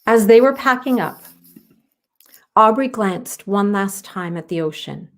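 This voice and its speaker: A woman reading a children's story aloud as narrator, in an expressive style. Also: medium pitch, unhurried.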